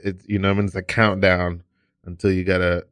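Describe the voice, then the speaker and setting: Low-voiced man hosting a chatty podcast. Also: flat delivery, fast talker, a bit bright, dry recording, clean studio sound. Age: 30s. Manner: rambling, restarts, plenty of "you know what I mean". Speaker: podcast host. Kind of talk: casual chat. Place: podcast studio.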